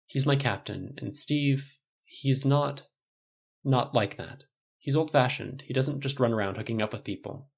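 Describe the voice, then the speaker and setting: A man's voice: medium pitch, measured pace, creaky, breathy edge. Man doing a snappish, defensive guy. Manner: curt, snappish. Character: defensive, prickly man getting a haircut. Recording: dull lo-fi recording.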